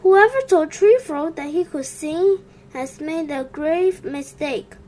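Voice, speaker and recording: A woman voicing a male duck who complains about the noise on the pond but speaks up calmly when needed. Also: very high voice, slow pace, voice pushed up, heavily acted. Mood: grumbling. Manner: complaining, then steady. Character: fussy male duck, calm and brave.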